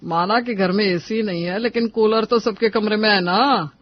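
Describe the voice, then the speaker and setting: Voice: medium pitch, normal speed, dry recording, lo-fi sound, natural voice. A performer voicing a dismissive, complacent older Indian father-in-law in a Hindi cartoon story.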